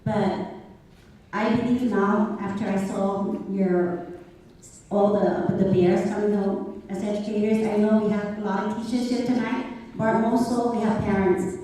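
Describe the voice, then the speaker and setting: A middle-aged woman making a halting, unscripted comment from the audience at a seminar. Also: mid-range voice, fairly even intonation, warm tone, a little hiss or hum. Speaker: audience member, education worker. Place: lecture hall, seated in audience. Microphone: handheld microphone. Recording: echoey hall, distant from microphone.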